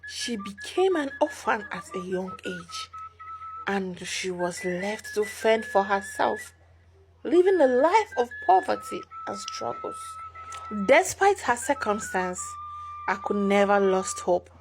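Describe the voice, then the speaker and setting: A woman's voice: on the high side, huge pitch swings, takes it slowly, background noise. A woman narrating a scripted folktale as a storyteller.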